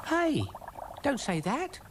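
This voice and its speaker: Squeaky, fussy little snail. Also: male voice, very animated, slow pace, heavily acted. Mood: panicked. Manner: exclaiming, indignant. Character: excitable, fussy male cartoon snail, easily panicked. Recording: clearly noisy recording.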